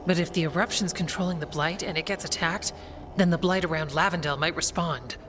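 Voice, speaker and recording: An actress playing a practical, wryly calm warrior woman in a video game, speaking in a measured, matter-of-fact way. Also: lowish voice, noisy background, close to an everyday voice. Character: steady, practical Grey Warden woman, calm and wryly dry. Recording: music behind the voice.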